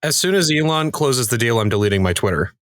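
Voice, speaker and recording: A man's voice: medium pitch, lively intonation, fast talker, fairly bright tone, no room sound, clean studio sound. Male comedian castmate, mid-range voice, wry and conversational. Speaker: podcast cast member, actor and comedian. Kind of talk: casual chat.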